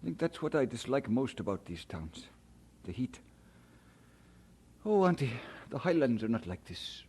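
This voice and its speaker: A man's voice: medium pitch, sing-song, normal speed, darkish tone, slight background noise. An actor playing a homesick, hesitant young immigrant man in an old radio drama. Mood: restless, pondering. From Scotland. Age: young adult. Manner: hesitant, musing, given to chuckling.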